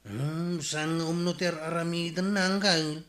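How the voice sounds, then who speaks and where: A man's voice: on the high side, steady tone, unhurried, a bit bright, roomy sound. An actor playing a concerned village man in an Ilocano comedy radio drama, with an Ilocano Filipino accent.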